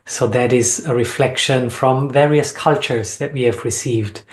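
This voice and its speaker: A man from Austria speaking as an interview guest, reflecting on personal experience in an earnest, measured way with deliberate phrasing. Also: mid-range voice. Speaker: sound healing facilitator and interview guest.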